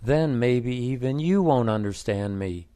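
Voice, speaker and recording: A man's voice: medium pitch, expressive, a little slow, warm tone, smooth, dry recording, lightly acted. Man doing a devoted, teasing wife in a read-aloud story, dry close voice. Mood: earnest.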